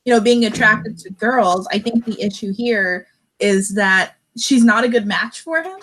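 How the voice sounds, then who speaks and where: Woman with highish voice, casual chat. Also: measured pace, fairly bright tone, a little hiss or hum, rough recording. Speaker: book discussion co-host. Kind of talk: casual book discussion chat.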